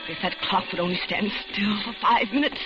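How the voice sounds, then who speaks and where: A woman's voice: medium pitch, moderate pace, natural voice. An actress playing a terrified captive woman scheming to escape, breathless and urgent in a 1940s radio thriller, both narrating and pleading. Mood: tense dread. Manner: breathless, urgent dramatic delivery. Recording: muffled old radio recording, loud dramatic music.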